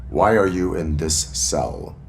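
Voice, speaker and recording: Game cyborg officer: very low voice, stoic, clipped, deadpan delivery. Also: male voice, slow pace, creaky, a little hiss or hum, full character voice. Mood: flat, stern. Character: stoic male cyborg police officer, formal and blunt. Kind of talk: video game voice acting.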